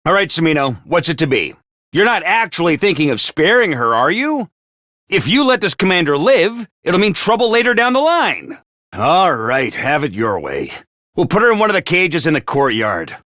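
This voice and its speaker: A man voicing a small, bossy male robot commander in a video game, theatrical and self-important, prone to shouting. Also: very animated, moderate pace, no room sound, studio quality. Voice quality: squeaky, over-the-top. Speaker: voice actor. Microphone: dry studio mic.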